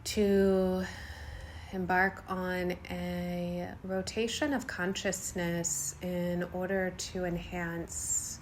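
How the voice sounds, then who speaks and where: A woman guiding a yoga nidra meditation in a soothing, unhurried way, speaking in short phrases with long pauses. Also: fairly low pitch, little pitch movement, slight background noise. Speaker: meditation guide.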